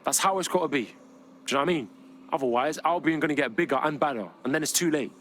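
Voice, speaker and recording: A man's voice: on the high side, fast, a bit bright, a little hiss or hum, close to an everyday voice. An actor playing a cocky, streetwise young man with a London accent in a video game, talking in casual slang. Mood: bold.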